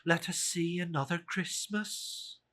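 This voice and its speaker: A narrator voicing a solemn, gentle spirit guide in a measured, grave manner for a storybook reading. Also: mid-range voice, fairly even intonation.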